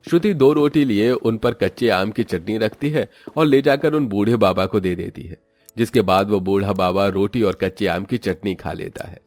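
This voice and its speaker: Male narrator for moral fables. Low pitch, close dry sound, music bed. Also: normal speed, a little mellow, dry recording. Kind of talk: scripted moral-story narration.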